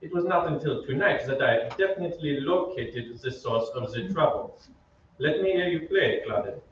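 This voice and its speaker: A man's voice: a little high, animated, close to an everyday voice. Man playing a swooping, formal opera maestro, echoey. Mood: formal, stern. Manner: measured, courteous, authoritative. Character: formal, politely firm male opera maestro. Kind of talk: old-time radio drama acting. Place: theatre stage, live reading. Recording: very echoey stage, distant, muffled, hiss.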